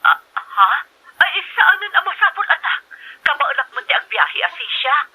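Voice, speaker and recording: A woman's voice: extremely high pitch, animated, moderate pace, pushed and bright, heavily acted, poor audio. A woman with an Ilocano accent playing a distressed, ashamed pregnant mother in a melodramatic drama, speaking hesitantly and plaintively. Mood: evasive, uneasy. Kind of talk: amateur melodramatic drama acting.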